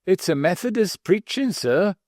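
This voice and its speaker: A man's voice: sits high, expressive, normal speed, darkish tone, dry recording, clean studio sound, voice pushed up. A man voicing a pompous former butler turned innkeeper in a treble, wheezy, slightly mincing voice, deferentially calling everyone sir. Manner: deferential, says sir constantly.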